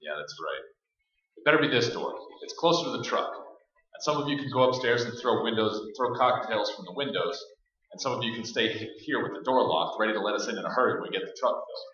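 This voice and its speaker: A man's voice: mid-range voice, lively intonation, fast, bright, forceful tone. A man playing a practical, urgent leader of a group of survivors in a staged radio play. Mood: commanding. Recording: very echoey stage, distant, muffled band.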